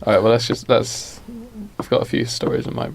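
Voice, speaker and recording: Creaky-voiced guy improvising a story on a podcast, kinda halting, faint noise. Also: medium pitch, fairly even intonation. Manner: hesitant, halting. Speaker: podcast co-host. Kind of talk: casual improvised storytelling chat.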